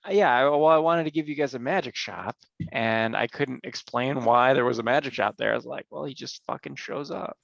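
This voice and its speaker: A laid-back man talking with friends, his voice gravelly and fried at the edges. He swears freely and laughs easily. The recording is dry and a bit dull. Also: mid-range voice, brisk, few pauses, fairly bright tone, no echo. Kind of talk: casual chat among friends.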